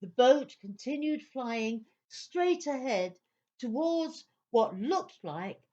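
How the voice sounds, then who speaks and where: A woman narrating a scripted children's story in an expressive, dramatic way. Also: a little high, takes it slowly, muffled recording.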